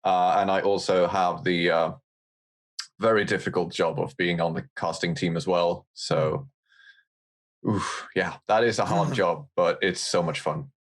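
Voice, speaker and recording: A man's voice: sits low, steady tone, measured pace. A man chatting casually as a guest on a podcast. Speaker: guest, audio drama lore editor.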